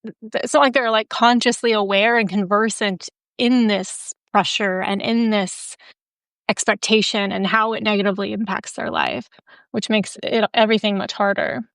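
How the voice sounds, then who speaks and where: A woman's voice: medium pitch, fairly even intonation, normal speed, fairly bright tone, dry recording. A woman hosting a casual interview, talking candidly with lots of "like" fillers. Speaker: host.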